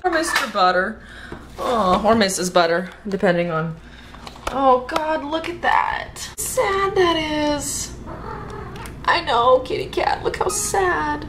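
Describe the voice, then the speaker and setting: A woman's voice: high voice, expressive, takes it slowly. Goofy young woman in an echoey kitchen. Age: young adult. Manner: silly, self-mocking, loud outbursts. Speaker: vlogger. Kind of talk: goofy cooking vlog. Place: home kitchen at night. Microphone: camera across the kitchen. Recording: echoey kitchen, audible noise.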